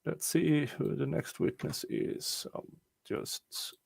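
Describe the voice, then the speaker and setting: Man, Swedish accent, slow, gravelly, hesitant, dry remarks, close dry sound. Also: mid-range voice, wildly swooping pitch, dry recording. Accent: rural Swedish. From Sweden. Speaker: co-host reacting to trial footage. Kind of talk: casual commentary chat.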